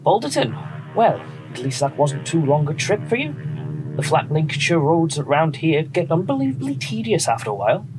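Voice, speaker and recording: An actor playing a friendly, assured wartime bomber pilot with a British accent, brisk and reassuring, in a radio drama. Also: male voice, fairly high pitch, very animated, music in the background. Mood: genial, welcoming. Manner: brisk, reassuring, polite officer. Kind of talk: period radio drama acting. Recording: noisy aircraft engine background.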